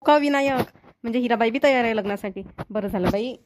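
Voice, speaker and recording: An eager, questioning middle-aged village mother from a Marathi cartoon comedy, played by a woman. She speaks in a raised pitch at a racing pace, keen to find things out. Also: fast, noisy background, lightly acted.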